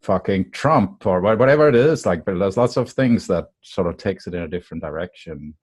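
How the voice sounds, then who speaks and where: A man in a group conversation, speaking hesitantly and slowly while searching for his words. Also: sits low, lively intonation, husky, dry recording, studio quality. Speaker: discussion participant.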